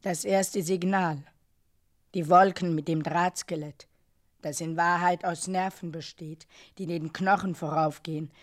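An actress plays a female narrator in a literary radio drama, with a medium pitch. The delivery is slow, measured and declamatory. Dark, surreal descriptions are set out as questions and pondered phrase by phrase. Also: lightly acted.

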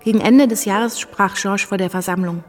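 Candid young married woman, actress-played, radio-play recollection, unfussy middle register, soft music bed. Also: mid-range voice, normal speed, close to an everyday voice. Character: candid, homely young wife remembering revolutionary days. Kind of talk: radio drama first-person narration.

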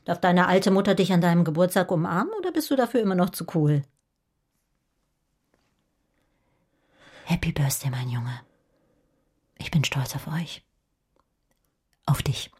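A woman's voice: lowish voice, very animated, normal speed, pauses often, a little mellow, breathy edge. A middle-aged woman acting a proud, nagging mother in a German radio drama, with an insistent, reproachful delivery. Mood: affectionate.

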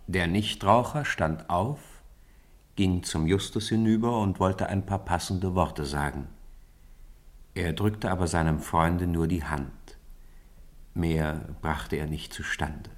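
Ein Mann trägt als Erzähler eines Hörspiels einen literarischen Text vor. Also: male voice, sits low, slow. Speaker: narrator. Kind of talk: scripted storybook narration for radio.

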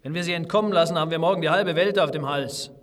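An actor playing a hard, pragmatic rocket technician in a German radio play, speaking matter-of-factly. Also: male voice, fairly high pitch, fast talker, no room sound, clean studio sound, natural voice. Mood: cold, urgent. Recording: noisy old radio recording.

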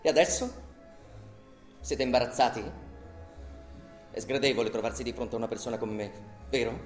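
A man's voice: medium pitch, moderate pace, natural voice. Actor as indignant accused man, echoing room. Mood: accusing, agitated. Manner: declamatory, rhetorical, sarcastic. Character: indignant accused man defending himself before a tribunal. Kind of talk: theatrical radio drama monologue. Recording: roomy echo, music behind.

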